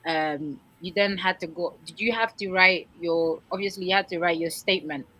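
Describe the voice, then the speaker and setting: A woman hosts a relaxed panel chat. Her voice sits a little low, and she asks questions and prompts informally, with encouragement. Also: normal speed, low-quality recording. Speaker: host. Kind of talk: casual interview chat.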